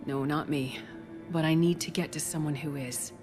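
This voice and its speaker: A secretive, determined doctor, voiced by a woman, low-pitched and urgent, who dodges questions. Loud music plays behind her in the game cutscene. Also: steady tone, normal speed, lightly acted. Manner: urgent, firm, evasive. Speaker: game character.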